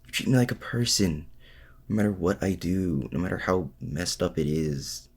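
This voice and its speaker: A man playing a lovestruck, protective supervillain in an intimate ASMR roleplay, speaking in a halting, earnest way with a gravelly, husky voice. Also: deep voice, lively intonation, darkish tone, heavily acted. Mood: worried, pleading. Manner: halting, earnest, with hesitant restarts. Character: lovestruck male supervillain, vulnerable, protective, confessing.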